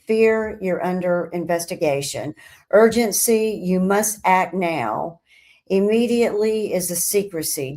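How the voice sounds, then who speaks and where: Slow, low-pitched woman narrating an explainer. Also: a little mellow. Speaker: host of a crime statistics show.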